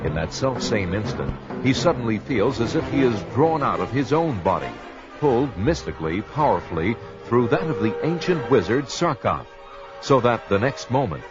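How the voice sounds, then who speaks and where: An actor playing a grandiose storyteller, giving emphatic scripted narration of a barbarian adventure in an audio drama. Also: male voice, mid-range voice, sing-song, moderate pace, natural voice. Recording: dull lo-fi audio with music.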